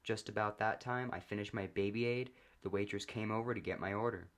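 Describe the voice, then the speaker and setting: Low-voiced man narrating a story, deadpan. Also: a little fast. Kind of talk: first-person comedic story narration.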